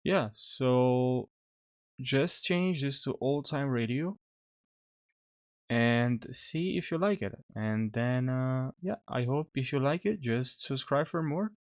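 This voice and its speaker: Male tutorial host, mid-pitched, informal and a little hesitant, close dry voice-over. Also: takes it slowly, dry recording. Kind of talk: casual software tutorial narration.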